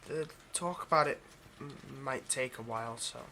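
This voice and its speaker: A man's voice: mid-range voice, normal speed, sharp tone, a little hiss or hum, lightly acted. A man playing a nervous, obsessive vampire lab technician in an intimate ASMR roleplay, with hesitant, stammering, overly polite delivery. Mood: eager, flustered.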